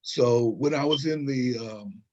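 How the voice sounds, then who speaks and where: Older man, husky voice, digressive. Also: medium pitch, a little slow, mellow, highs cut off. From Southern California. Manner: digressive, emphatic, checks in with rhetorical questions. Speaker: guest, historian and author. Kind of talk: interview conversation.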